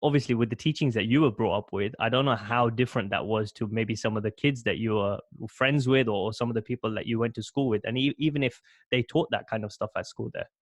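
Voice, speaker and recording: A man's voice: mid-range voice, fast, no room sound. A man in his thirties hosting a podcast, asking thoughtful questions with stammering restarts into a close studio mic. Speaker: podcast host. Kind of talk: interview questions. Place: small room at home.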